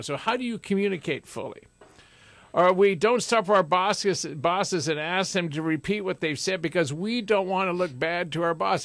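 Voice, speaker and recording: A middle-aged man hosting a radio talk show in a studio, talking loudly and forcefully with jokes. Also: a little high, no room sound. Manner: loud, forceful, joking.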